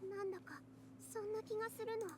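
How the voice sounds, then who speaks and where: A woman voicing an earnest, girlish young heroine in an anime-style video game, with expressive delivery. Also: extremely high pitch, very fast, noisy background. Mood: worried, earnest. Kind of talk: Japanese video game voice acting. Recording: game dialogue under clear music. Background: game music.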